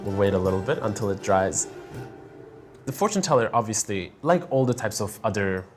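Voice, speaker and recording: A woman's voice: rumbling low, animated, fairly quick, faint music behind. A young woman, raised in Turkey, patiently explaining and demonstrating a coffee fortune-telling ritual in conversation. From Azerbaijan, raised in Turkey. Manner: instructive, patient, laughs lightly.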